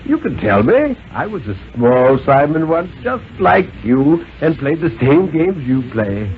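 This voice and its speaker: A man's voice: a little high, big pitch swings, unhurried. A man playing an overbearing, condescending father who lectures his small son in a pompous, emphatic way, in a 1950s radio reading. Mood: patronizing. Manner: pompous, insistent, jolly-patronizing, emphatic. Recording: tinny, noisy 1950s radio recording.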